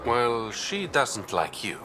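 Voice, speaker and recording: Sly smuggler informant, video game, unhurried, lively intonation, smooth charm. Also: male voice, mid-range voice, soft background music, exaggerated voice. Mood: wry. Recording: clear background vehicle and ambient noise.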